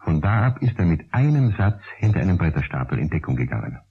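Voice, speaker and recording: A man's voice: sits low, very animated, quick pace, husky. A man, narrating a radio play as storyteller. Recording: dull old radio play recording.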